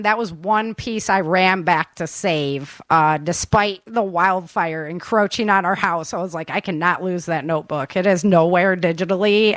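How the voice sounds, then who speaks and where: A woman's voice: fairly low pitch, fairly bright tone, no echo. A woman hosting a casual, chatty conversation. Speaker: podcast host, author. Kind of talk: casual chat.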